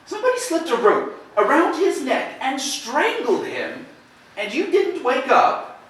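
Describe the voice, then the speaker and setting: An actor playing a talkative farmer neighbor in a stage drama, giving his account in a halting, rambling way. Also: very high-pitched, wildly swooping pitch, fairly bright tone, heavily acted. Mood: recounting, bewildered. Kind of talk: stage drama acting, recounting events. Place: indoor theater stage, distant microphone. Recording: echoey stage from far off, faint noise.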